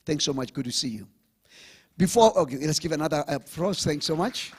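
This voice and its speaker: A man's voice: fairly high pitch, expressive, brisk, slightly rough. A man hosting a live event, rallying the audience and switching languages. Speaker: event host. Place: conference hall with audience.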